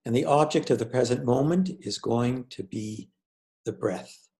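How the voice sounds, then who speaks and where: Slow-paced man guiding a meditation. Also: medium pitch, creaky, mellow. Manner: measured, deliberate, with pauses between phrases. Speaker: meditation teacher. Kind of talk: guided meditation instruction.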